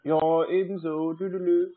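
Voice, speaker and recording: A man's voice: high voice, flat delivery, unhurried. A man, a native German speaker, chatting casually on a call with playful, feigned naivety. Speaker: scambaiter taking calls. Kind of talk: casual phone chat. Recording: muffled narrow phone-like sound.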